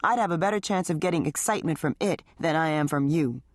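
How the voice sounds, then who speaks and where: A woman's voice: low-pitched, fast talker, dry recording, clean studio sound. A woman voicing a resentful married man who talks in a hostile, sarcastic tone. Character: resentful, bitter married man in his forties.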